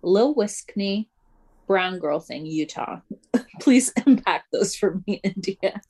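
A woman co-hosting a casual podcast, talking fast and loosely with lots of "like" and frequent laughs. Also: medium pitch, expressive, darkish tone, breathy edge. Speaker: podcast co-host. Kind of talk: casual chat.

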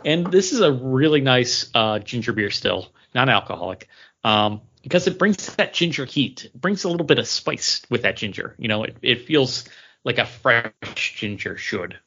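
A man's voice: medium pitch, animated, normal speed, bright, forceful tone. A man hosting a podcast in casual conversation, recorded with dull lo-fi sound. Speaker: podcast host. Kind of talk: casual chat.

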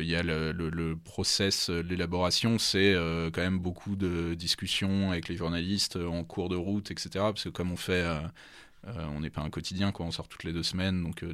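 Deep-voiced hesitant man, dry sound. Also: a bit bright, no room sound. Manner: hesitant, many fillers and restarts. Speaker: magazine editor-in-chief, guest. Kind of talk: casual radio interview.

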